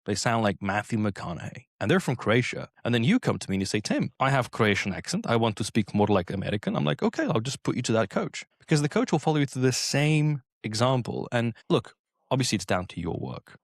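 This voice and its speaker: A young man from Slovenia, a native Slovenian speaker, talking animatedly as an English accent coach in an interview, slipping into quick acted-out quotes. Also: medium pitch, sing-song, no echo, studio quality. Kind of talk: casual interview talk.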